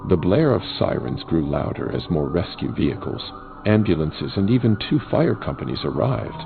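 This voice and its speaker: Guy acting as a deep gravelly eyewitness telling a horror story, tinny phone sound, music behind. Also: very animated, moderate pace, exaggerated voice. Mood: tense, grave. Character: male neighbourhood eyewitness recounting a tense night. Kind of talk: scripted first-person horror story narration. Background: music and sirens.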